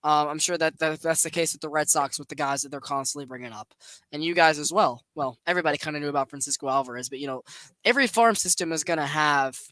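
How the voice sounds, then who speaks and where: A young man hosting a podcast, chatting casually about baseball in an animated, rambling way. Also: on the high side, quick pace, dry recording. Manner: animated, rambling, laughs often. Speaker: podcast host. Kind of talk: casual sports chat.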